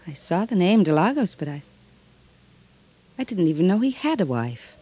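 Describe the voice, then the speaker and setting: A woman's voice: lowish voice, very animated, moderate pace, warm tone, husky. A coolly seductive radio-drama woman. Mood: guarded, flirtatious. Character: flirtatious, daring adult woman fascinated by death. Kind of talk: 1950s radio drama acting.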